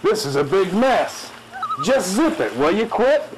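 A man playing a stubborn, educated city fish expert in a spoof radio drama, testy and quick to contradict. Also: high voice, big pitch swings, background noise. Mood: irritated.

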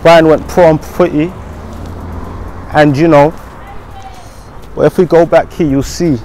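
A man's voice: on the high side, fairly even intonation, measured pace, slight background noise. A young man with a London accent, talking casually in slang and swearing, recorded outdoors with a clip-on mic. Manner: slangy, swearing, chatty, shout-outs. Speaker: vlogger. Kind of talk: casual walk-and-talk vlog. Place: outdoors on streets by a school, then a garden patio.

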